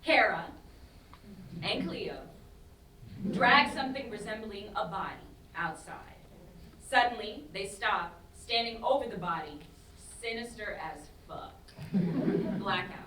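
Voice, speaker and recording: A woman's voice: mid-range voice, animated, measured pace, pauses often, pushed and bright. A woman reading stage directions as scripted narration, with clipped, dramatic phrasing. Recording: roomy, distant, faint noise.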